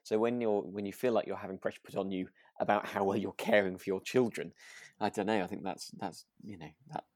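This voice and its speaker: Male podcast co-host, low register, casual and hesitant delivery, very dry recording. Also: fairly even intonation, fairly quick, darkish tone, no room sound. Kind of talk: casual chat reviewing a radio drama.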